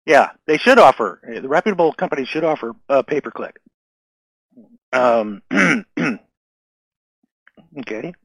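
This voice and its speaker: Man, webinar presenter, hoarse fading voice, chatty, stumbling repeats, dry room. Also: medium pitch, expressive, unhurried, long pauses, fairly bright tone, no room sound. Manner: chatty, repeats words, many fillers. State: losing his voice, coughing. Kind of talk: casual webinar talk answering questions.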